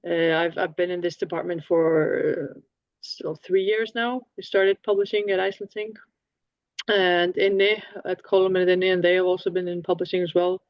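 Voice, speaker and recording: A woman's voice: lowish voice, little pitch movement, normal speed, dry recording. A woman introducing her music company on a panel, chatty with fillers and restarts, talking over a video call. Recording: dry, dull lo-fi call audio.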